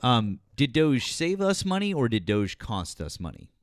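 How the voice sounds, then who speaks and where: A man's voice: medium pitch, sing-song, measured pace. A man in his forties hosting a live political stream, pressing a caller with pointed, repeated questions. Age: 40s. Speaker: host.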